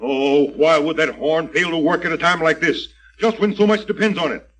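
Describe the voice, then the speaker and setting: Anxious father and leader, raised pitch, commanding and earnest, vintage radio serial. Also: moderate pace, a bit bright. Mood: tense. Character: worried father, an authoritative leader called Doc. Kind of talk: old-time radio serial acting.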